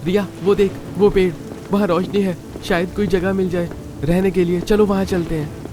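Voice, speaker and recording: A man voicing a weak-willed young husband in a cartoon, pleading in a high strained voice. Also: fairly even intonation, moderate pace, noisy background, lightly acted. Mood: desperate. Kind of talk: Hindi cartoon voice acting.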